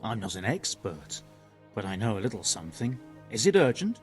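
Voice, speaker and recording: A man's voice: on the low side, big pitch swings, normal speed, a little mellow, faint music behind. An actor playing a modest, helpful medieval man who knows a little herbalism, in a video game. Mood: obliging. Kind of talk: video game cutscene dialogue.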